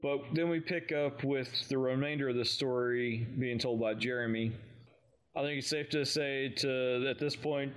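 A man co-hosting a podcast, recapping a story in an informal, conversational and somewhat halting way. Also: mid-range voice, fairly even intonation, a bit bright, smooth, low-quality recording. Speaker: podcast co-host. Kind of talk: casual recap of an audio drama.

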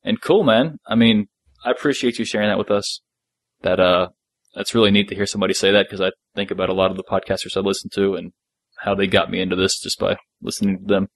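A man's voice: low-pitched, fairly even intonation, quick pace, no room sound. A middle-aged man chatting casually as a podcast co-host, laid-back and appreciative, sometimes reading out listener messages.

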